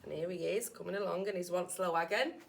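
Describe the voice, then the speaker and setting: A woman's voice: fairly low pitch, a little fast, creaky. A woman, a primary school teacher, reading a picture book aloud expressively to young children with asides.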